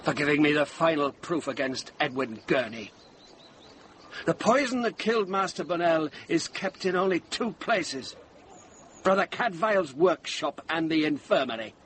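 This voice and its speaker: An actor playing a stern, accusing medieval sergeant in a radio drama, questioning in a clipped way. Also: male voice, on the high side, measured pace, fairly bright tone, slight background noise. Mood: stern, triumphant. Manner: clipped, interrogating. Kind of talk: British radio drama acting.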